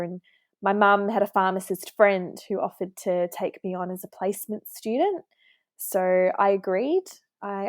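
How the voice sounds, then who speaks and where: A woman's voice: mid-range voice, a little mellow, dry recording, clean studio sound. A woman in her twenties from New Zealand, a pharmacist guest on a podcast, telling her story in a friendly way with some filler words. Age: 20s. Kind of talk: podcast interview.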